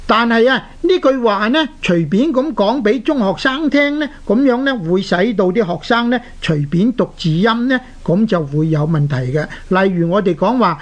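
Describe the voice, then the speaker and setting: Radio educator, sex open, Hong Kong Cantonese accent. Mid-pitched, didactic, phrase-by-phrase explanatory delivery. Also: expressive. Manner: didactic, deliberate, short phrases with drawn-out particles. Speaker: radio language teacher and presenter. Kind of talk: explanatory radio lecture on Chinese characters.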